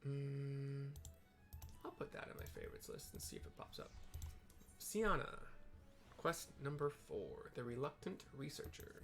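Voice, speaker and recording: A man giving casual, halting commentary as he plays through a video game and describes what is on screen. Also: mid-range voice, big pitch swings, vocal fry, a bit bright, soft background music. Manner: halting, informal. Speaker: gaming video host.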